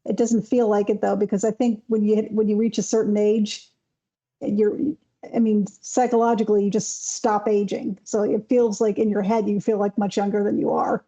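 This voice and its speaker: A woman in her thirties co-hosting a podcast, explaining things in a calm, matter-of-fact way in casual chat. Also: mid-range voice, normal speed, rough recording. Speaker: podcast co-host.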